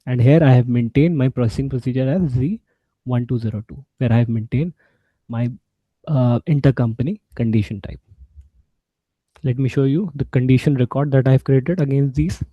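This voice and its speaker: A man giving an informal software walkthrough, explaining step by step in a halting way. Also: mid-range voice, dry recording, highs cut off. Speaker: SAP instructor.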